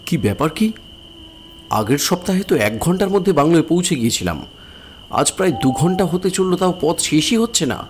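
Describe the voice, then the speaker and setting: A man voicing a calm, reasoning older brother who is a newly posted forest ranger. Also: sits high, quick pace, soft background music. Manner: calm, reasoning, lightly teasing. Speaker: narrating his own thoughts while riding. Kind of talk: Bengali cartoon voice acting.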